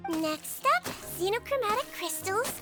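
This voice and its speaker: A woman's voice: extremely high pitch, lively intonation, measured pace, pushed and bright, full character voice. A woman voicing a sweet, earnest little girl who works as a caring head nurse in a fantasy game. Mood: cheerful, instructive. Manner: cheerful, caring, instructive. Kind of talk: animated game voice acting. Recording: game music behind.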